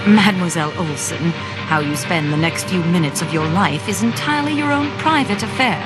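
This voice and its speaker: An actress playing a composed, dry-witted Frenchwoman educated in England in a game, crisp, formal and dryly polite. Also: animated, a little fast, loud music behind the voice, close to an everyday voice. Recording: loud train rumble behind voice.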